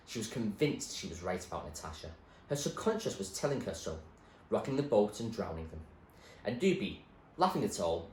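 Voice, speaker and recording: A man reading a novel aloud with measured storytelling, in an echoey room. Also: lowish voice. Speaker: reader. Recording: echoey room, faint noise.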